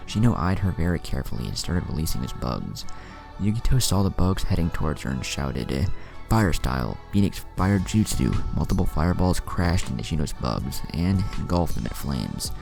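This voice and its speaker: A man's voice: extremely low pitch, very animated, fairly quick, creaky, music in the background. A man narrating an anime fan story, reading out both the action and the dialogue.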